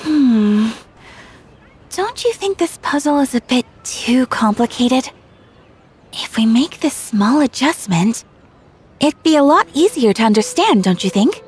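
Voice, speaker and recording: An actress voicing a polite, gently persuasive young woman in an anime game, over music. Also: sits high, big pitch swings, unhurried, breathy edge, heavily acted. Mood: politely coaxing. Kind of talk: anime game dialogue acting.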